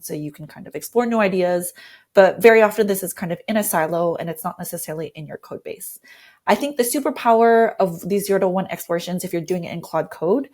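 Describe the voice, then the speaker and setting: A woman's voice: fairly low pitch, fast talker, a little mellow. A woman speaking as an interview guest, enthusiastically explaining how she works as a design lead in a conversational way. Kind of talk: conversational explanation of work process.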